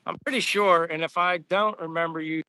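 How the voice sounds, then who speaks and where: A man in his fifties from Pennsylvania, rambling in casual live chat and reading out viewer comments, his voice going hoarse from talking. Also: fairly high pitch, steady tone, fairly quick, bright, forceful tone, no room sound. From Pennsylvania, USA. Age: 50s. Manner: rambling, chatty, answers viewers by name. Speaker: livestream vlogger. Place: outdoors on a walk.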